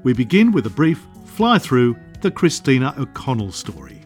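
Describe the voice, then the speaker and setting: A man's voice: mid-range voice, wildly swooping pitch, normal speed, soft background music. A man hosting a podcast interview, asking questions with playful teasing. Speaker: podcast host.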